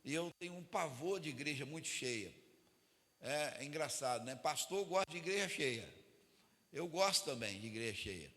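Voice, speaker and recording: A man's voice: fairly high pitch, animated, moderate pace, a bit bright. A Brazilian man, an evangelical pastor, preaching informally to church leaders in a colloquial way, often seeking agreement from his listeners. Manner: colloquial, asks for amens and confirmation. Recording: echoey hall with long reverb.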